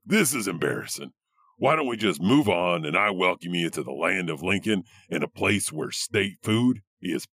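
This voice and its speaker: A man's voice: sits low, bright, forceful tone, slightly rough, dry recording, full character voice. A man playing an eccentric, awkward janitor who hosts a horror audio anthology with rambling asides. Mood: embarrassed. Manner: halting, rambling, chuckling asides. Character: eccentric, awkward, creepy male janitor storyteller. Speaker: host. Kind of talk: comic horror anthology hosting.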